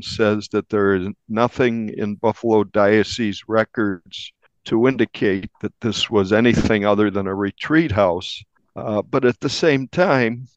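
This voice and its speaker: Lower-voiced man, dull dry recording. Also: no room sound. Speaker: journalist guest. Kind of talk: conversational interview, explanatory.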